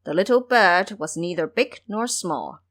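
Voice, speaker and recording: Animated woman narrator telling a story. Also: on the low side, measured pace.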